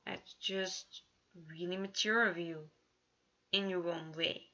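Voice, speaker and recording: A woman's voice: fairly low pitch, steady tone, slow pace, sharp tone, highs cut off, full character voice. A woman voicing a scowling, sardonic, guarded man who mutters, in a fanfiction story reading. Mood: guarded, muttering. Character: scowling, sardonic, guarded male companion of a prince.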